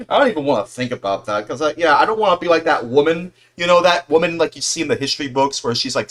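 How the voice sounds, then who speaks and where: A man in his mid-twenties talks casually on a livestream. His pitch is middling but swings around a lot. He rambles earnestly, circling back to rephrase what he just said. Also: fairly bright tone. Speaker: livestream host.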